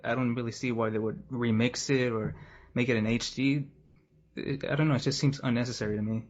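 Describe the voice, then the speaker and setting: A man speaks as a guest in an informal discussion. He is somewhat hesitant, with an average-pitched voice. The recording is dull and lo-fi. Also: fairly even intonation, brisk. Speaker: podcast guest. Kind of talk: casual discussion.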